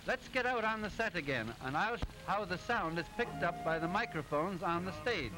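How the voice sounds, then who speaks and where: A man playing a brisk, confident doctor who explains things in a declamatory, instructive way, on an old 1920s film soundtrack. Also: high-pitched, animated, music in the background, low-quality recording.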